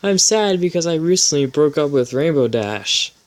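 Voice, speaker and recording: A man's voice: a little high, animated, moderate pace, a little mellow, pitched above the performer's own voice, lightly acted. A man voicing a sad, lovelorn young female unicorn pony in a plaintive, melodramatic way for a story reading.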